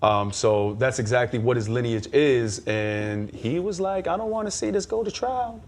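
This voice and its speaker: A man's voice: mid-range voice, lively intonation, normal speed. A man on a game show panel talking casually, explaining things in detail with slangy, opinionated commentary.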